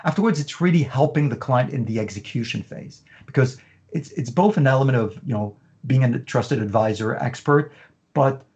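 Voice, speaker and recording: Middle-aged male consultant, mid-pitched, slightly dull online-call sound, talks in long lists. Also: expressive, normal speed, a little mellow. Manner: talks in long lists with many "you know" fillers. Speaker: fashion business consultant. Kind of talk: interview.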